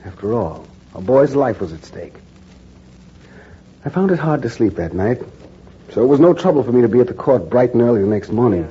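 An actor playing a determined undercover newspaper reporter who narrates in an earnest, measured way, in 1940s radio acting. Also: male voice, medium pitch, expressive, natural voice. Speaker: narrator and lead. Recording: dull old radio recording with music.

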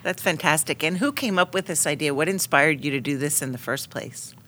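A woman's voice: low-pitched, brisk, fairly bright tone, a little hiss or hum. A woman hosting a podcast, giving scripted introductions and asking interview questions. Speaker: podcast host.

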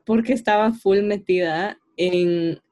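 A woman's voice: mid-range voice, takes it slowly, dark tone. A young woman with a Honduran Spanish accent, reflecting thoughtfully in casual conversation. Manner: reflective, thoughtful, uses voseo and fillers.

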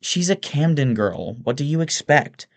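Man putting on a high, whiny socialite woman. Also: very animated, moderate pace. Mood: exasperated whining. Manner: breathy sighing whine, exasperated. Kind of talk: audiobook character voice.

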